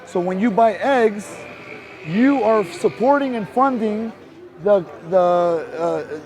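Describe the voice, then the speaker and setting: A man's voice: sits high, animated, slow pace, a little mellow, low-quality recording. A man doing street outreach as an animal rights activist, talking outdoors on a city street in an earnest, emphatic way and pressing his points with rhetorical questions. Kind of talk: persuasive one-on-one street outreach.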